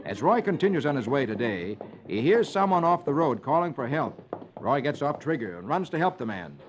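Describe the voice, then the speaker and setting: Animated American man, vintage radio storyteller. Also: medium pitch, brisk, slight background noise. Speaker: host reading the comics. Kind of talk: 1950s radio storytelling narration for children. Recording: muffled old radio recording, music behind.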